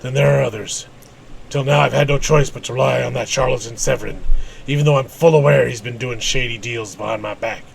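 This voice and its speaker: A man's voice: mid-range voice, brisk, creaky. A man voicing a stern, distrustful old captain in a fantasy game, solemn and indignant. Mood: stern, aggrieved.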